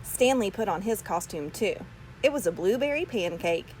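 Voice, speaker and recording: A woman reading a children's storybook aloud with expressive, deliberate phrasing. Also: mid-range voice, a little hiss or hum, poor audio. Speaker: storybook reader. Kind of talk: children's read-aloud narration.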